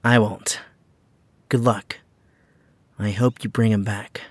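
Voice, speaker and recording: Man as slow, bashful young hero. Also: on the low side, little pitch movement, no echo. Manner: hesitant, bashful. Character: shy, awkward young male hero.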